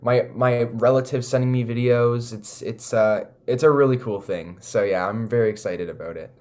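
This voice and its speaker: A man's voice: medium pitch, moderate pace, a little mellow. A young man with a Canadian accent chatting casually and earnestly as an interview guest, leaning on "like" and "you know". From Toronto, Canada. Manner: earnest, filler-heavy with frequent "like" and "you know". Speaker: recording artist, interview guest.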